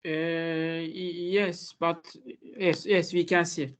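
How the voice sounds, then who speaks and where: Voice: medium pitch, level tone, takes it slowly, a bit bright. A speaker moderating a conference session politely and hesitantly. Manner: hesitant, polite, filler-heavy.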